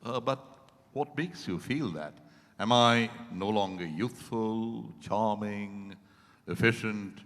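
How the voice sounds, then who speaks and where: An actor playing a lonely, wistful ageing man in a halting, theatrical stage reading. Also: fairly low pitch, a bit bright. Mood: guarded. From India. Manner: halting, theatrical, repeats phrases for weight. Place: event hall at a hotel. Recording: echoey hall with long reverb.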